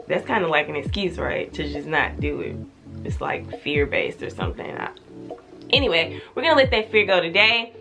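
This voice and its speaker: A woman's voice: mid-range voice, a bit bright. A young woman chatting to her viewers with a slightly stuffy, congested nose. She talks in an easygoing, repetitive way and stresses words by saying them again. Faint music plays behind her. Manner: chatty, repeats words for emphasis. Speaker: channel host. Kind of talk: casual vlog chat.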